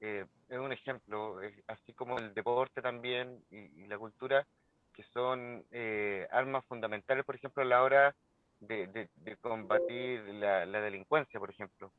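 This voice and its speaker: A man speaking on a panel in a hesitant, halting way with many fillers. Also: mid-range voice, fairly even intonation, no echo. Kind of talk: panel discussion. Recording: dry, tinny phone-like line.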